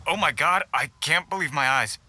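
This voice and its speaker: Awestruck game bystander, gushing, really sharp bright voice, bit of noise. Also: medium pitch, moderate pace. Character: awestruck, pleading contest onlooker. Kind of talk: video game voice acting.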